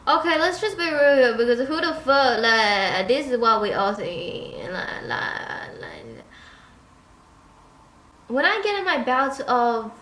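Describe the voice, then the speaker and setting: Slow-talking candid young woman, swearing. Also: on the high side, a bit bright, echoey room, a little hiss or hum. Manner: rambling, candid, swears freely, trails off. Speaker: vlogger. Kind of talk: unscripted confessional vlog. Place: her bedroom.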